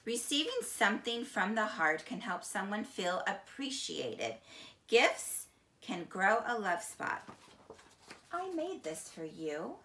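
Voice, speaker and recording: A middle-aged woman reading a children's picture book aloud in a deliberate, expressive teacher's manner. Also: mid-range voice, slight background noise. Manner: slow, deliberate, expressive storytelling. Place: small indoor room, seated on couch. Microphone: camera a few feet away. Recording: echoey room.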